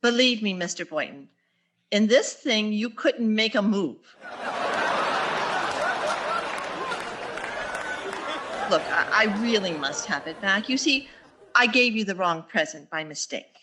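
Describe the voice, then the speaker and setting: A woman playing a wry, flustered English teacher in an old-time radio sitcom, theatrical and quick with wisecracks. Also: medium pitch, lively intonation, very noisy, lightly acted. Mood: wry, anxious. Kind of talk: 1940s radio sitcom acting on stage.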